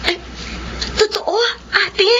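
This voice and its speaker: Bright, very high-voiced student. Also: female voice, little pitch movement, very slow, heavily acted. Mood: hopeful. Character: eager, remorseful pregnant young student, younger sister. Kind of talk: Filipino radio drama acting. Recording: dull radio recording, faint noise.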